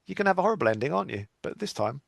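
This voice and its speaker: A man's voice: a little high, sing-song, racing, gravelly. A man with an English accent hosting a podcast in a casual, chatty review style. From England. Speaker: podcast host.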